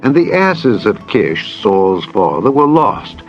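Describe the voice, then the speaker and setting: A man narrating a dramatized scripture reading in a solemn, measured, formal manner. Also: fairly low pitch, big pitch swings, highs cut off. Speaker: Bible narrator. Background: background music.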